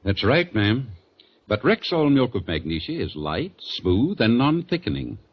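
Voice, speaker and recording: A man's voice: fairly low pitch, sing-song, measured pace. A man playing an earnest, reassuring family druggist, polite and folksy, in a scripted 1940s radio commercial. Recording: dull 1940s radio broadcast recording.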